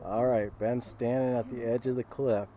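A man's voice: mid-range voice, flat delivery, moderate pace. Casual male vlogger, upbeat and chatty, mid-range voice, recorded outdoors on a muffled action camera with faint ambient noise. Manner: chatty, upbeat, keeps addressing viewers as "guys". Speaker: vlogger and father filming his family. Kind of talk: casual vlog narration to camera. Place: outdoor campground and woods. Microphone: handheld action camera.

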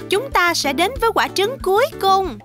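Very high-pitched woman narrator for kids. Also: big pitch swings, moderate pace, a bit bright. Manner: exclaiming, questioning, addressing young viewers. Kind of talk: children's cartoon narration. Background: children's music.

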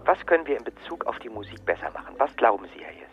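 Male call-centre agent for radio drama: rapid, oily-polite patter, never takes no for an answer, slightly muffled sound. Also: lowish voice, very animated, fairly bright tone. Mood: politely evasive. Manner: unctuous, formulaic sales patter, insistent. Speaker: customer service agent. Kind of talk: radio drama acting, scripted phone dialogue.

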